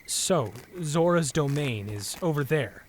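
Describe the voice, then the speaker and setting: Earnest young hero played by a man, expressive range. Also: on the high side, slow, slight background noise. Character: earnest, modest young male fantasy hero from the countryside. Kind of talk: audio drama acting.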